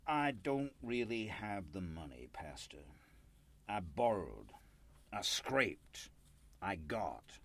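A man's voice: on the low side, full character voice. An actor in a radio play playing a bitter, self-pitying older man, folksy and sardonic. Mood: bitter, defeated. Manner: folksy, sardonic, swinging from apologetic to accusing.

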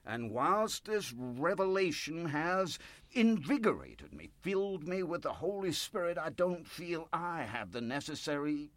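A man acts out an older small-town believer in a radio drama, nervous and full of zeal. His pitch is very animated, sliding up and down as he rambles in folksy, pious phrases. The sound is dry, with little room around it. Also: a little slow, no room sound. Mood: humble. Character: earnest, folksy older born-again businessman, nervous and zealous. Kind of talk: radio play acting.

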